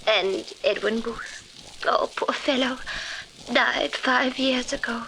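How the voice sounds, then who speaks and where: Genteel Victorian hostess lost in the past: high, refined, gushing, vintage radio drama with light hiss. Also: female voice, takes it slowly, bright, forceful tone, exaggerated voice. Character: genteel, deluded Victorian lady hostess, cheerfully living in past. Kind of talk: 1940s radio acting. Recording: faintly noisy old 1940s radio recording.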